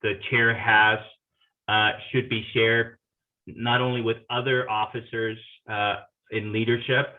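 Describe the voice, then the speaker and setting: A man's voice: on the low side, little pitch movement, a little slow. A man from Guatemala answering as a party officer candidate at a forum, firm and determined, with frequent fillers. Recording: tinny phone-like video call line.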